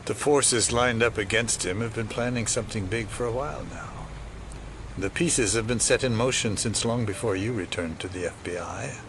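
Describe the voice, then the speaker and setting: A man playing a sinister older conspirator in an audio drama, talking in a cryptic, condescending, deliberate way. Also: on the low side, a bit bright, a little hiss or hum. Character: sinister, knowing older male conspirator, revived as a copy. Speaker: actor playing a shadowy villain.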